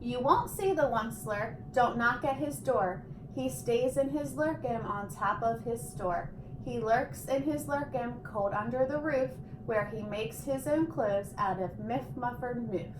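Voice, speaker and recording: Female teacher for a rhyming picture-book read-aloud: highish voice, slow and deliberate, roomy recording. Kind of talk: expressive children's storybook reading. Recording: echoey room with faint background noise.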